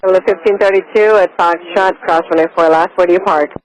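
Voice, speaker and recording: A man working as an airport tower controller, giving brisk, clipped radio instructions. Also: high voice, steady tone, low-quality recording. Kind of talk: radio phraseology.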